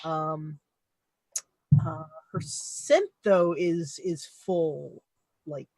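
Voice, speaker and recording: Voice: medium pitch, drawn-out words, creaky, darkish tone, no room sound, low-quality recording. A player in a tabletop game, talking casually with playful asides. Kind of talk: casual actual-play chat.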